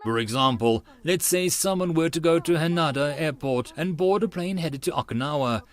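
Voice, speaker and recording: A man voicing a gutsy, sharp-tongued eighteen-year-old genius girl who lectures assertively in an audiobook. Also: on the high side, normal speed, close to an everyday voice. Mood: lecturing.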